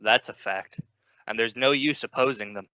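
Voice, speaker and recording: An actor playing a middle-aged father, genial on the surface and shrewdly probing, measured in an old-time radio suspense drama. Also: mid-range voice, pushed and bright, no echo, lightly acted. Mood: chatty. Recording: dull, lo-fi audio.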